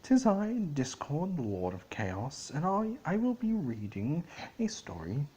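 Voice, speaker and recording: A man voicing a theatrical lord of chaos creature introducing himself for a fan reading, with grandiose, drawn-out flourishes. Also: on the high side, wildly swooping pitch, warm tone, voice pushed up.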